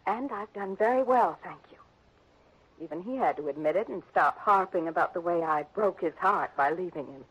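An actress playing a defiant, headstrong young adopted heiress in a 1960s radio drama. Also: female voice, lowish voice, normal speed, slightly rough. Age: young adult. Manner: headstrong, defensive. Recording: dull lo-fi old radio broadcast.

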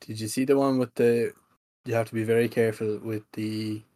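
A man's voice: fairly low pitch, level tone, a little fast, dark tone. A man chatting casually with friends as a co-host on a podcast.

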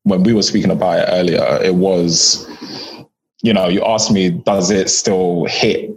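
A man's voice: low voice, fairly even intonation, unhurried, slightly rough. A man moderating a reflective, casual discussion, in a slightly dull recording.

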